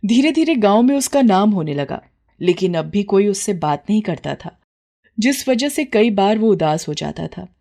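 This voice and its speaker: A woman's voice: mid-range voice, expressive, normal speed, vocal fry, warm tone. A woman storyteller reading a scripted moral tale as narration.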